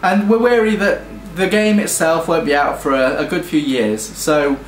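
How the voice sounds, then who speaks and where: A British man pitching his game studio's project, talking enthusiastically with frequent ums and restarts. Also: moderate pace, slight background noise. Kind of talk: earnest crowdfunding pitch. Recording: echoey room with faint music.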